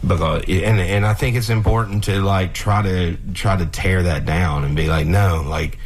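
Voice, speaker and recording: Southern male guest, very low and husky, halting radio interview talk. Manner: halting, repeats words while thinking. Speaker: guest musician. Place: radio station studio.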